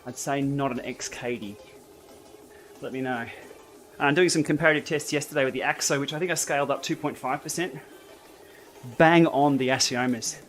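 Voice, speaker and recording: A man's voice: mid-range voice, moderate pace, long pauses, a bit bright, faint music behind. A man hosting a live indoor cycling stream, chatting casually and answering viewer comments as he rides. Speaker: livestream host. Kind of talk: casual live chat while riding. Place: indoor training room.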